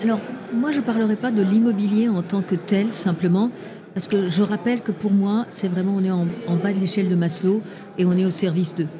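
A woman's voice: medium pitch, fairly even intonation, a little fast. A woman originally from Iran speaks as an interview guest, earnest and persuasive. She sets out figures and points one by one in a mid-range voice. Audible crowd chatter sits behind her. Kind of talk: conversational interview.

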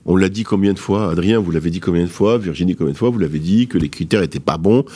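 A man's voice: sits low, quick pace, dark tone, slightly rough. A middle-aged man speaking emphatically and rhetorically in an opinionated panel discussion, addressing his listeners directly. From born outside France, moved there at eighteen. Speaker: guest commentator on housing policy.

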